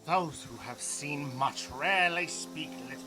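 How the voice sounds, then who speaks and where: A man's voice: fairly high pitch, measured pace, natural voice. A man playing a menacing, greedy villain in a radio drama, taunting and theatrical in delivery. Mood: gloating menace. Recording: echoey, loud music underneath.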